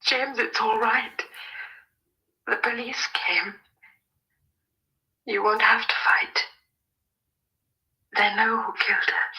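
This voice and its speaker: A woman's voice: fairly high pitch, steady tone, slow, pauses often, sharp tone, full character voice, poor audio. An actress playing a headstrong, passionate young woman in love, earnest and insistent, in an old radio drama. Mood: tender, hushed.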